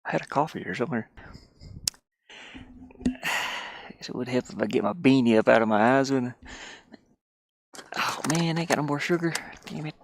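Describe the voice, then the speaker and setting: A man's voice: medium pitch, normal speed, gravelly, pauses often. A man chatting casually and loosely while he works, mumbling asides to himself in an informal, rambling way. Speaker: streamer and hobby game developer. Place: inside a van.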